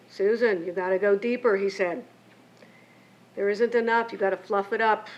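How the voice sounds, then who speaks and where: An older woman, raised between Mexico and the United States, reads a prepared keynote speech in a measured, reflective way from her pages. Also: medium pitch. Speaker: keynote speaker and memoirist. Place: lecture event. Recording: muffled lo-fi with faint hiss.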